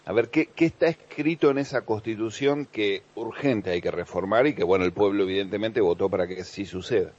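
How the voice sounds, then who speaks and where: A man with a Rioplatense accent hosting a radio show, chatty and quick to interject questions. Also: on the low side, darkish tone, slightly rough, no echo, muffled recording. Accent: Rioplatense Spanish. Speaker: radio host. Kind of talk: radio chat.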